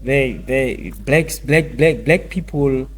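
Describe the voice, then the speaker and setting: A man's voice: mid-range voice, slow. A man with a South African accent talking casually as an interview guest, repeating phrases and mixing in a local language. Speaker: fitness trainer, interview guest. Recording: noticeable background noise and ambience.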